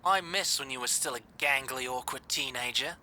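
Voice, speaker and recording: Woman doing a brash scolding boss lady over speakerphone, really sharp voice, grudgingly fond, radio play style. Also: rumbling low, moderate pace, slight background noise, heavily acted. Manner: blunt, sardonic, scolding. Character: McTally, brash, foul-mouthed, grudgingly fond businesswoman. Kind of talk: scripted audio drama phone call.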